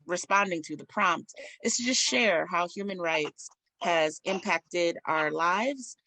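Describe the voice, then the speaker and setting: Slow-speaking woman moderator. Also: on the low side, pushed and bright, dry recording, poor audio. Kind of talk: moderating a panel.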